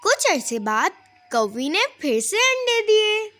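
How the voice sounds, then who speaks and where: A woman's voice: extremely high pitch, big pitch swings, slow, a bit bright, a little hiss or hum. A woman narrating a scripted fable for children as a storyteller.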